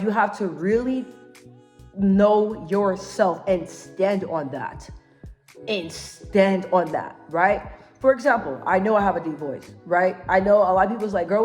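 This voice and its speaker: A woman's voice: mid-range voice, a little mellow. A woman giving a casual motivational talk to camera, assertive and emphatic, repeating key phrases and often checking for agreement. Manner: emphatic, repeats phrases, constant tag questions seeking agreement; assertive, self-assured. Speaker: vlogger giving self-help advice.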